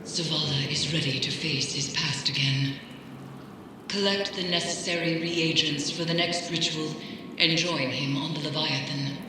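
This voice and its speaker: An actress playing a solemn, haunted mystic who guides warriors in a game, speaking in a grave, measured, formal way. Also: female voice, low-pitched, steady tone, very echoey, background noise. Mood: solemn resolve. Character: solemn, haunted mystic woman guiding warriors against nightmares. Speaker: mission guide. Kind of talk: scripted game dialogue.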